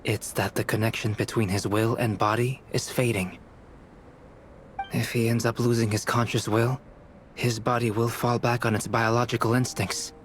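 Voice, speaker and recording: An actor playing a calm, scholarly young male alchemist in a game, explaining in a measured, analytical way. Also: medium pitch, a little hiss or hum, natural voice. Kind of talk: game cutscene voice acting. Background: background music.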